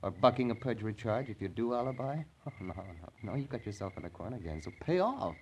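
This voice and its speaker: An actor playing a desperate, menacing blackmailer in an old-time radio crime drama, pressing hard in a halting delivery. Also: male voice, fairly low pitch, lively intonation, slight background noise, natural voice. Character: insistent, desperate male blackmailer and killer, coldly menacing.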